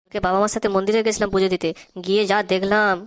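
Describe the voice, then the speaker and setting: A woman's voice: mid-range voice, flat delivery, very fast, smooth, lightly acted. Woman playing a cheeky joking schoolboy. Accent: Bengali. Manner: jokey, broken English, sing-song. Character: cheeky boy pupil, joking and boastful.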